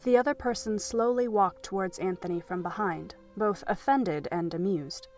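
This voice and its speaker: A woman's voice: mid-range voice, moderate pace, darkish tone. Woman narrator, scripted storytelling, mid-range voice, faint music behind.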